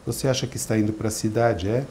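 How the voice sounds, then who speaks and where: A man's voice: fairly low pitch, steady tone, fast, warm tone, slight background noise, natural voice. A man reading aloud and voicing a young male servant who scolds in a matter-of-fact way. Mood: scolding. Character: young male servant, bossy caretaker of a boy.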